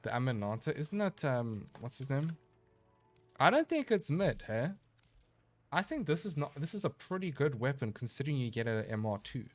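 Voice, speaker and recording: A man streaming a video game live, chatting casually and answering his chat in a relaxed, rambling way, sounding a little stuffy and nasal. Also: mid-range voice, moderate pace, soft background music. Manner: laid-back, rambling, reads chat aloud and answers.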